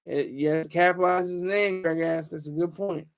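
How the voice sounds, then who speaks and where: A man's voice: fairly high pitch, steady tone, brisk, creaky. A man hosting a casual livestream, rambling, teasing viewers and stumbling over restarts. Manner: stammers and restarts often. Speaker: livestream host.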